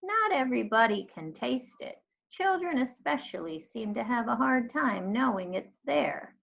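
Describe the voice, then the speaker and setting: A woman reading the part of a gentle, wistful old lady librarian in a storybook, genteel and measured. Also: a little high, big pitch swings, creaky, voice pushed up, heavily acted. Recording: dull lo-fi recording.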